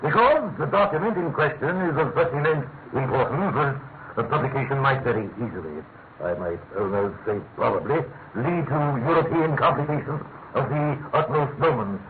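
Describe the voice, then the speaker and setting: A man's voice: medium pitch, expressive, lightly acted. Tinny radio British Prime Minister played by a man. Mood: grave, stern. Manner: weighty, deliberate, formal. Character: austere, dominant elder statesman, grave Prime Minister. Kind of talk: classic radio drama acting. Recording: thin narrow-band old radio recording, faint noise.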